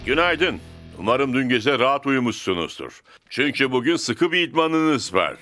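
A commanding cartoon football coach, firm and deadpan as he instructs his team. The voice is forceful and medium in pitch, with light music behind it. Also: normal speed. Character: stern, commanding adult male football coach. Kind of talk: dubbed cartoon acting.

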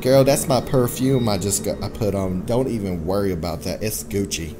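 A man plays a sassy young woman in a horror game, lifted a little in pitch but still low. The delivery is flippant, breezy and comic, over clear background noise. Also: moderate pace, lightly acted. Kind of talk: comic amateur game voice acting.

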